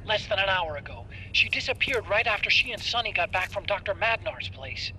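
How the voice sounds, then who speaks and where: A jittery young tech whiz in a video game cutscene, anxious and quick to fret. His voice is high and very bright, almost piercing, with a faint hiss behind it. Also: animated, exaggerated voice, low-quality recording. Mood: worried. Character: nervous, fussy young male tech expert.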